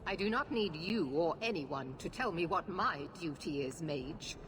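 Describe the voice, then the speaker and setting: Stern, low-voiced female knight commander. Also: lively intonation, a little slow, background noise. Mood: cold, unyielding. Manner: commanding, severe, formal. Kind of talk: dramatic fantasy video game acting.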